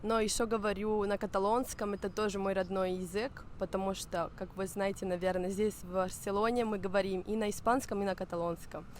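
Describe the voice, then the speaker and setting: A woman's voice: medium pitch, fairly even intonation, a little fast, hardly any pauses, slight background noise. Young woman speaking learned Russian. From Spain. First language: Spanish and Italian. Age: young adult. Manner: hesitant, searching for words with fillers. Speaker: guest. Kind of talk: casual interview in learned Russian.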